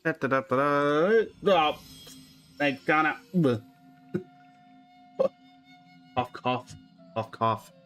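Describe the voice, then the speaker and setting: A man's voice: a little high, animated, drawn-out words, pauses often, a bit bright, faint music behind. A man giving casual, chatty commentary on a game livestream, talking to his chat and humming little filler syllables.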